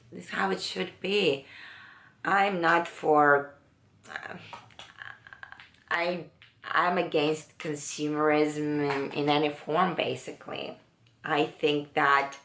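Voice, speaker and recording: A woman with a low, raspy voice chatting slowly through a makeup tutorial, pausing often. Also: a bit bright, reverberant. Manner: unhurried, musing, with many pauses and fillers. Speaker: beauty vlogger. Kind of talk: casual makeup tutorial chat.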